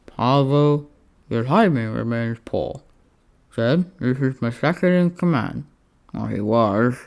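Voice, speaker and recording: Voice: mid-range voice, lively intonation, slow pace, vocal fry, darkish tone. A reader voicing a proud, lovesick Roman general in a story, formal, theatrical and drawn out. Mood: dry.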